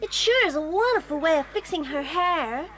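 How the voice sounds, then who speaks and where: A spoilt but good-tempered American schoolgirl for a radio play. The high voice drawls in an American accent with showy, animated intonation. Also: normal speed. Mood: admiring, breezy. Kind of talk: children's audio drama acting.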